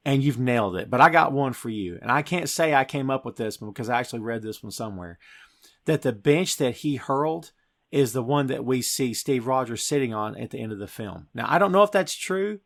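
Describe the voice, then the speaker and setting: A man's voice: medium pitch, animated, brisk, vocal fry, fairly bright tone. A man talks as a podcast host in a mid-range voice. He retells scenes informally and with emphasis, like someone chatting with a co-host. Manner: informal, emphatic storytelling, addressing co-host as dude. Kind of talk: casual film recap and discussion.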